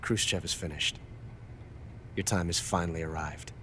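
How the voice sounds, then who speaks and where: An actor playing a scheming male double agent in a video game, calmly reporting by phone in a measured, conspiratorial way. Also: sits low, slight background noise. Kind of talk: video game cutscene dialogue. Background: game music score.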